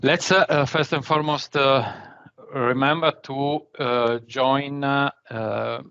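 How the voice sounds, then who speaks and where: Italian-accented man, halting, dull lo-fi sound. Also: medium pitch, steady tone, fairly bright tone, clear, resonant tone. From Italy. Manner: halting, searching for words between phrases. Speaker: conference speaker. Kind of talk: remote conference presentation. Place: office.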